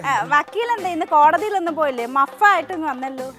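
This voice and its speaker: A woman playing an ignorant, gossipy, loud housemaid in a television comedy sketch, excitable and bossy, speaking. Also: sits high, fast talker, over music. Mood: chatty, self-important.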